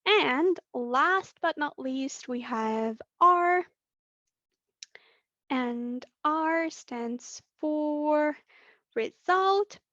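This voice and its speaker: Slow high-pitched woman presenter, hoarse. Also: expressive, a bit bright, no echo, highs cut off. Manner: deliberate, drawn-out letter by letter. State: losing her voice. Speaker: webinar presenter. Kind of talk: online training session. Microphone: computer mic on a video call.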